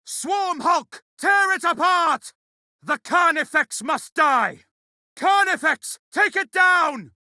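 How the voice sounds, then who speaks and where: Fierce, sharp-voiced space marine. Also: male voice, fairly high pitch, very animated, takes it slowly, creaky, no room sound, clean studio sound, exaggerated voice. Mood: fierce command. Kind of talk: video game combat barks.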